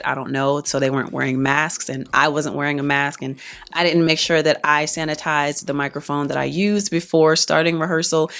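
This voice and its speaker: Low-voiced woman chatting to camera. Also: fairly bright tone. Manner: chatty, explains plainly, reads some parts aloud. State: recovering voice, partly lost speaking voice. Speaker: singer and vlogger. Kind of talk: casual talk to camera.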